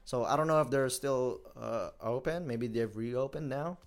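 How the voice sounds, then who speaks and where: A man's voice: mid-range voice, normal speed. A young man co-hosting a casual podcast chat, telling stories and laughing often. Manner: laughs often, animated storytelling. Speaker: podcast co-host.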